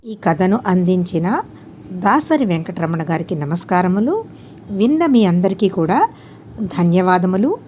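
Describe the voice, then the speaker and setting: A woman reading a moral story aloud as scripted audiobook narration, in a measured, expressive way. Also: fairly low pitch, a little hiss or hum.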